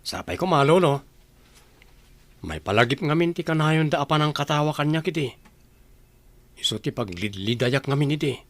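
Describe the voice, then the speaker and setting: A man plays a young groom in a radio drama, earnest and anxious. His voice sits fairly high and he speaks respectfully, with an Ilocano accent. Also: expressive, moderate pace, pauses often, no room sound.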